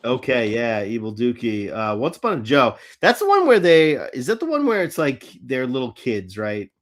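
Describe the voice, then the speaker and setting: A man's voice: mid-range voice, sing-song, moderate pace. A man hosting a live fan show, chatting casually about cartoons in a blunt, irreverent way with some swearing.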